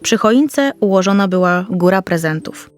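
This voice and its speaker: A woman's voice: medium pitch, animated, moderate pace, soft background music. A woman storyteller reading a scripted Christmas fairy tale for children.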